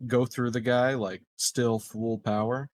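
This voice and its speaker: A man's voice: medium pitch, level tone, unhurried, a little hiss or hum. A man playing a tabletop roleplaying game and talking casually at the table.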